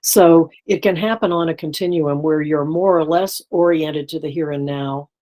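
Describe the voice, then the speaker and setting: A woman psychology professor calmly explaining ideas as an interview guest, speaking in a measured way. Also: sits low.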